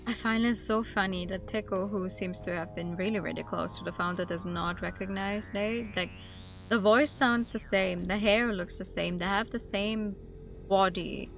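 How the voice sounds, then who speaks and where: A woman's voice: medium pitch, fairly even intonation, moderate pace, creaky. A woman livestreaming a game, commenting casually and reading bits of text aloud as she chats with viewers. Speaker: streamer. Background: soft background music and game audio.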